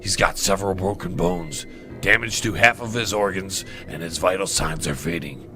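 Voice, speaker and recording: A man's voice: sits low, moderate pace, lightly acted. A man playing a grave ship's medic in a sci-fi audio drama, speaking in a somber, subdued manner. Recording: clear music behind.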